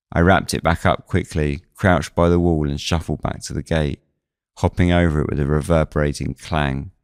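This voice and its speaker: Man, very low-pitched voice, suspense story narration, close dry recording. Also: dry recording. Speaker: narrator of a fiction podcast. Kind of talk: scripted first-person suspense narration.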